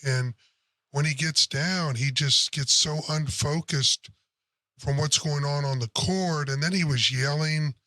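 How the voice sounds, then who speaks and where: Deep throaty guy talking sports, blunt, bright edge, dry close sound. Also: a little slow, dry recording. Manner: blunt, opinionated, curses casually. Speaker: sports podcast co-host. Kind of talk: casual sports chat.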